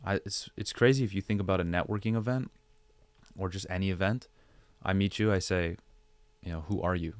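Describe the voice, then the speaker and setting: A man's voice: low voice, steady tone, brisk, clear, resonant tone, no room sound. A man speaking as a podcast guest, giving conversational advice with rhetorical questions and repeated phrases for emphasis. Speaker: podcast guest, digital creator.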